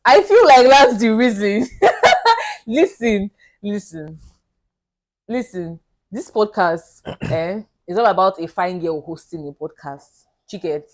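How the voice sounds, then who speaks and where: A woman co-hosting a casual podcast. She laughs easily and trades short agreeing replies with longer quick asides. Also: medium pitch, expressive. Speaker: podcast co-host. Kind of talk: casual group discussion. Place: podcast studio.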